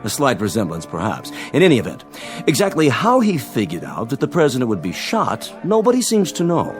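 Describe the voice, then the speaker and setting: Smug, animated wealthy clubman. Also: mid-range voice, fairly quick, faint music behind. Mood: smug, breezy. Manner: self-satisfied, leisurely, polished. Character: smug, urbane, very rich inherited-money clubman. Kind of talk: radio drama acting.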